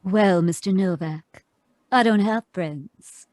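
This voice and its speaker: A woman playing a cool, sardonic femme fatale in a 1940s-style radio noir, trading unhurried, taunting wisecracks. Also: mid-range voice, a little mellow, dry recording, lightly acted.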